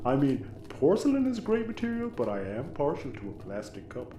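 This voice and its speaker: An actor playing a naive, earnest oddball crewmate who rambles cluelessly in a sci-fi comedy. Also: male voice, medium pitch, huge pitch swings, a little fast, dark tone, lo-fi sound. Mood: earnest rambling. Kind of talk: sci-fi audio comedy acting. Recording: roomy echo, faint background noise.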